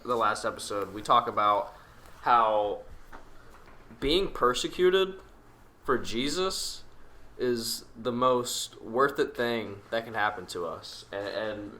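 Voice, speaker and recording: Dry-humored young man, bright voice. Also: medium pitch. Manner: dry, joking. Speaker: podcast co-host. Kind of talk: casual chat.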